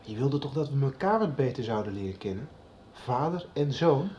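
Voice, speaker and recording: A man's voice: medium pitch, big pitch swings, moderate pace, dark tone, muffled recording, slight background noise, close to an everyday voice. A man acting an insistent, frustrated teenage son in a Dutch radio soap.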